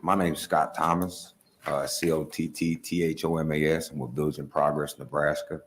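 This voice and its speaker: Man testifying, super deep voice, flat, formal. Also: moderate pace, slight background noise. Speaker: testifier at a hearing.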